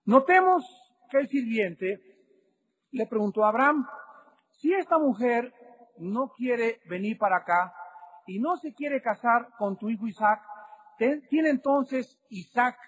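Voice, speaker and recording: Man, high-pitched preacher, impassioned sermon, faint hiss behind. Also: poor audio. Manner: impassioned, rising to shouts.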